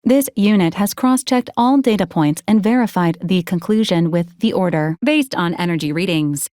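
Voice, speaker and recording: A woman playing a formal, dutiful female ship's AI in a video game, speaking in a measured, clipped, impersonal way. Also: lowish voice, dark tone, no room sound, clean studio sound, natural voice. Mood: formal, matter-of-fact. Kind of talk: scripted video game voice acting.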